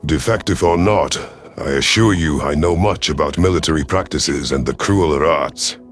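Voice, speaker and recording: A man voicing a stern, shrewd alien predator chief in an audio drama, speaking in a formal, measured, authoritative way. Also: rumbling low. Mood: shrewd, commanding. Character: commanding alien predator rebel leader, male, shrewd and stern. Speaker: military chief narrating his own story. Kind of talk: audio drama narration and dialogue. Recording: music behind the voice.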